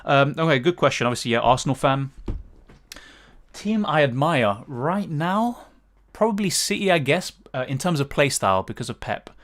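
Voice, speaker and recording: A man's voice: fairly high pitch, expressive, normal speed, fairly bright tone. A man with a British accent, chatting casually on a livestream and answering viewer questions with lots of fillers. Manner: chatty, thinks aloud with many fillers and restarts. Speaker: fantasy football streamer.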